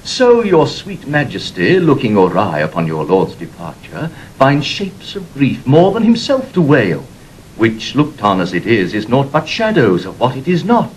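An animated, earnest courtier. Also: male voice, medium pitch, slight background noise, low-quality recording. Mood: consoling. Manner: measured, declamatory. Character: courtly, earnest male attendant consoling his queen. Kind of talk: classical Shakespearean radio acting.

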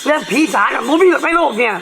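A man's voice: very high voice, animated, rapid-fire, vocal fry, noisy background, full character voice. A man with an Isan accent playing a cheeky, sceptical village youngster who teases his elder, in a folk comedy series. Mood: mocking. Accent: Isan, northeastern Thai. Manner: teasing, challenging. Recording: loud music under dialogue.

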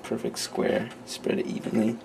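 A young man hosting a homemade cooking show in a small kitchen at night, rambling offhand with many fillers. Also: moderate pace. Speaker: home cooking show host. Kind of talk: casual home cooking demo. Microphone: handheld camera mic, close. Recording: noisy home camera audio.

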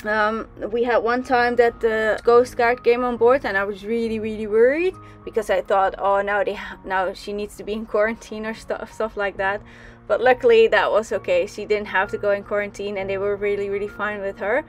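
Young woman from the Netherlands, mid-pitched, chatty vlog voice-over with light music behind. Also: little pitch movement, moderate pace. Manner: chatty, with many ums and restarts. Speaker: sailing vlogger. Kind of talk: casual voice-over narration.

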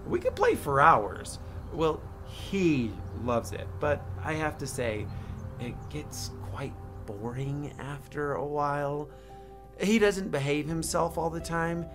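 A man reading aloud as a gentle young male dog who tells about his boy, in a soft, calm storybook voice. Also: a little high, exaggerated voice. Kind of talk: children's book read-aloud.

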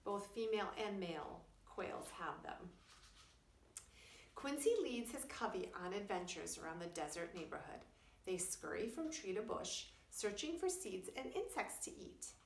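A woman's voice: medium pitch, big pitch swings, measured pace, slight background noise. A middle-aged woman, a preschool teacher, reading a children's story aloud and explaining simply for young listeners. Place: small wood-panelled room indoors. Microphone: camera a few feet away. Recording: roomy, distant camera microphone.

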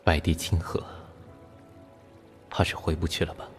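A man's voice: a bass voice, flat delivery, measured pace, soft background music. A man playing a proud, embittered, disgraced scholar in a costume radio drama, brooding and intense. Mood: dazed, wary.

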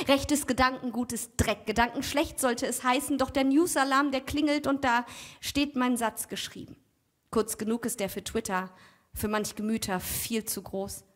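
A young woman performing spoken-word poetry at a stand mic on a theater stage, rhythmic, dropping to a near-whisper on quiet lines. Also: a little high. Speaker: poet.

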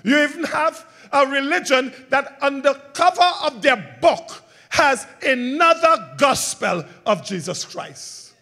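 High-voiced guy preaching a sermon, bright and forceful, throws questions back at the church. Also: animated, takes it slowly, creaky, few pauses. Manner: preaching with call-and-response questions to the congregation; swings between loud emphatic lines and quiet low ones. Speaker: pastor.

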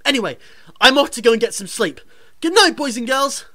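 A woman's voice: fairly high pitch, lively intonation, fairly quick, close to an everyday voice. A woman playing a chirpy, earnest young lad, the hero of a radio pantomime, in a hearty theatrical manner. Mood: rousing. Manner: hearty, addressing children theatrically.

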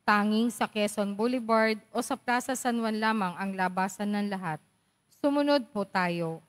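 A woman serves as commentator at Mass. She reads announcements to the congregation in a polite, instructive way at a medium pitch. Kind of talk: church announcements and responses.

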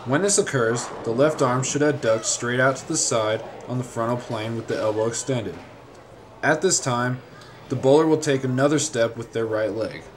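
A man reading a scripted instructional narration in a measured, formal, step-by-step way. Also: medium pitch, noisy background.

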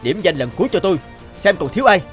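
Army squad leader male actor for animation: dutiful, stern, commanding, highly varied pitch over music. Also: very animated, fast talker, a bit bright. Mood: impatient. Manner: formal, commanding.

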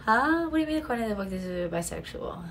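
A woman's voice: on the high side, lively intonation, fast, a bit bright. A young woman giving casual, blunt true crime commentary from a small home room, swearing freely and breaking into sarcastic asides. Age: young adult. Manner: blunt and profane, sarcastic asides, frequent restarts.

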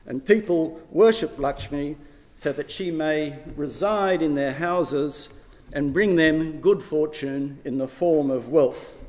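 A man's voice: fairly high pitch, slow, darkish tone. An older man giving an academic lecture, projecting his voice to a room without a microphone. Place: lecture hall, indoors. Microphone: far camera, no microphone. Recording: echoey hall from far off.

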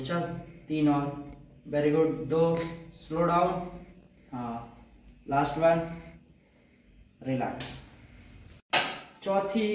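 An Indian man in his twenties coaching a workout demonstration, encouraging, with short repeated commands. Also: high-pitched. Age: 20s. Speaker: fitness trainer and presenter. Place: indoor gym. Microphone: camera a few metres away. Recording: echoey room, distant mic, faint noise.

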